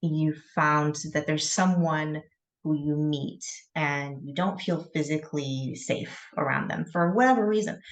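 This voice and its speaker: A middle-aged woman from Michigan, a coach and podcast guest, talking thoughtfully with many pauses and fillers. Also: sits low. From Michigan, USA. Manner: thoughtful, hesitant, with fillers and light laughs. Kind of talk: podcast interview.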